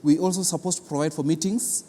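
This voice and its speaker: A Kenyan man with a soft, mellow voice pitched a little high explains things in an interview. He speaks deliberately and haltingly, repeating words for emphasis. The sound rings with long hall reverb. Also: fairly even intonation. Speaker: arts institution official being interviewed. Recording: long reverberant hall, clear background noise.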